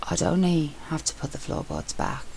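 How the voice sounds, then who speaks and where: A woman reading a story aloud and voicing an anxious young man, talking quietly and under strain. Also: very deep voice, normal speed, vocal fry, a little hiss or hum.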